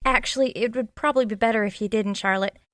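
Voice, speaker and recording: Woman as a sensible, highish-voiced sidekick sleuth. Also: quick pace, a bit bright, dry recording, natural voice. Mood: placating. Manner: reasonable, conciliatory. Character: sensible, helpful female sidekick sleuth, quick to smooth things. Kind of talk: comic audio drama acting.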